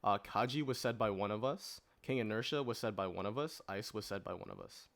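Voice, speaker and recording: A man's voice: lowish voice, brisk, creaky, fairly bright tone. Man moderating a casual podcast panel. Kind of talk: casual discussion.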